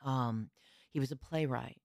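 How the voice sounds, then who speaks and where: A woman's voice: rumbling low, measured pace, gravelly, a little mellow, dry recording. Mature woman astrologer in dry close sound. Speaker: astrologer, podcast guest. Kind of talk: conversational interview storytelling.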